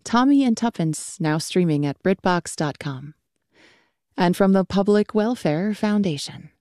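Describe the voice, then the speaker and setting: A woman reading radio sponsor credits in a measured, scripted way. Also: medium pitch, animated, warm tone, no echo, clean studio sound. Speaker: radio announcer.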